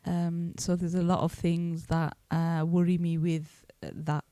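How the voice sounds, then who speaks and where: Low, flat-voiced woman in her thirties. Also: unhurried, no echo. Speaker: podcast guest, older sister. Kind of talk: casual sibling chat.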